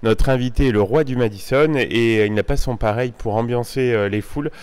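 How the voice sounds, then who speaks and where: A man's voice: medium pitch, brisk, a little mellow, smooth. A man hosting a casual interview, asking questions and encouraging his guest with short agreements. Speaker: interviewer, host. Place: music venue.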